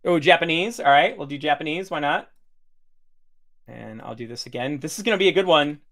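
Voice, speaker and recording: A man's voice: a little high, fairly quick, a bit bright. A man hosting a casual livestream demo over a video call, chatty and full of fillers and restarts. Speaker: host demoing software.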